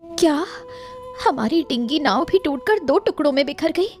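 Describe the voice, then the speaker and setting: A woman's voice: high voice, expressive, normal speed, darkish tone, faint music behind. A woman voicing a fisherman's young wife in a cartoon, who speaks in a worried, earnest way.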